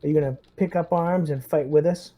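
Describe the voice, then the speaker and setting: A man's voice: on the high side, steady tone, brisk, warm tone, lightly acted. A man voicing a blunt, foul-mouthed Marine lieutenant rallying his squad in a tabletop roleplaying game. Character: gruff, rousing Marine lieutenant, loyal to his brothers in arms.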